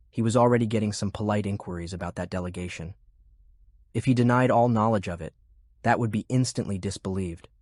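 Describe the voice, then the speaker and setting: A man reading scripted fiction narration in a measured, deliberate way. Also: fairly low pitch, a little mellow, dry recording, studio quality. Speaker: audiobook narrator. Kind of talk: scripted narration of a fantasy war novel.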